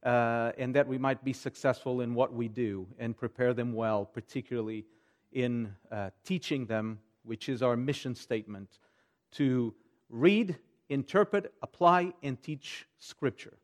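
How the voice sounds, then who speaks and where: A man in his forties from Portugal with a Portuguese accent, speaking as a pastor to a congregation in an earnest, measured way. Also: medium pitch. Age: 40s. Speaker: pastor and seminary teacher. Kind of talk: church address to a congregation, giving updates and prayer requests.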